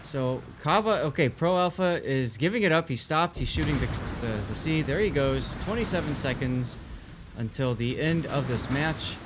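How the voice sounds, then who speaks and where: A man's voice: a little high, expressive, normal speed, background noise. A man giving live play-by-play on an esports match in a casual, colloquial way. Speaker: esports co-commentator. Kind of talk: live play-by-play game commentary.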